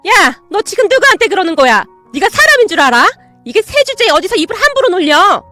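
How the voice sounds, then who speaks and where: A rude, whiny aunt, as a woman puts her on talks in a raised, shrill voice full of indignation. Her pitch swings high and wide as she complains and sneers. The sound is close and dry. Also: sing-song, a little fast, pushed and bright, no room sound, soft background music, exaggerated voice. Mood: indignant.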